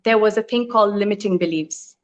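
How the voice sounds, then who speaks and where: A middle-aged woman from Thailand telling her life story as a guest, earnest and thoughtful, with frequent pauses. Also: medium pitch. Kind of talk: reflective personal storytelling. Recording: lo-fi online live stream.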